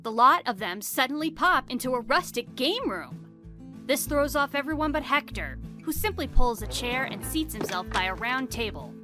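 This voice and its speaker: A woman's voice: high voice, animated, moderate pace. A woman narrating a spooky fantasy audio drama as a dramatic, scripted storyteller. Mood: dramatic storytelling. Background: music behind the voice.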